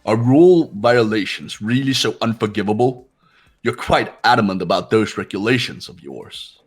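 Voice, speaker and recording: A man voicing a composed, haughty young man in a fan-dubbed game, speaking in a measured, aloof and formal way. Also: on the low side, animated, breathy edge, faint music behind. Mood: cool, probing. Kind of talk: fan voice acting of a visual novel game.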